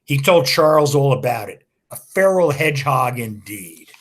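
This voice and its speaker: A man's voice: mid-range voice, lively intonation, moderate pace, fairly bright tone. A man voicing an indignant woman head researcher who speaks in a reproachful, curt way in a read-aloud story.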